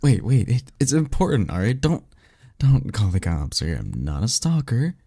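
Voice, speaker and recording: A man playing a guarded, secretive vampire in an ASMR roleplay, speaking in a halting, insistent, colloquial and sardonic way. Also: medium pitch, big pitch swings, warm tone, husky, no room sound, clean studio sound. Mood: awkward, pleading. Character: guarded, secretive male vampire offering magical mentorship. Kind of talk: ASMR roleplay audio drama.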